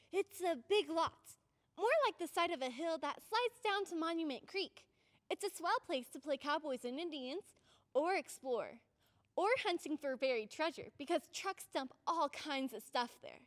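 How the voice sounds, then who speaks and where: Woman playing an earnest, very high-voiced American girl. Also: fairly bright tone. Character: excitable, earnest young American girl narrating her story. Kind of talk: old-time radio drama acting.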